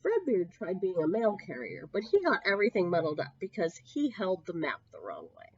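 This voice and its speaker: A woman storyteller reading a picture book to young children, with expressive emphasis and drawn-out words. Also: lowish voice, husky, dry recording. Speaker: children's storyteller at a public library. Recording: dull lo-fi, close dry sound.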